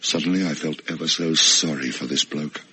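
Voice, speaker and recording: A man's voice: extremely low pitch, steady tone, normal speed, sharp tone, slight background noise, full character voice. An actor playing a flustered, self-absorbed man in a radio play, stammering and hesitant as a reluctant rescuer. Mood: wry, confiding. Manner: stammering, hesitant, nervously pleading. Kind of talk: radio play acting with inner narration.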